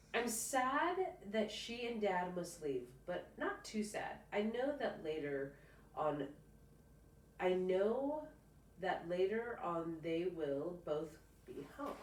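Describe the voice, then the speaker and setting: A woman reads a children's picture book aloud expressively, stopping now and then for casual comments of her own. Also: on the low side. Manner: expressive, stops to comment informally. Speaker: storybook reader. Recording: roomy, distant mic, faint background noise.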